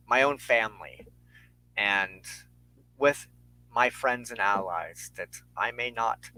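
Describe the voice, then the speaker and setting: A man hosting a spiritual show, speaking reflectively and earnestly in measured, halting short phrases with deliberate pauses. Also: on the low side, sharp tone, rough recording. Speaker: host and minister of a spiritual show. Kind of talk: reflective spiritual talk to an online audience.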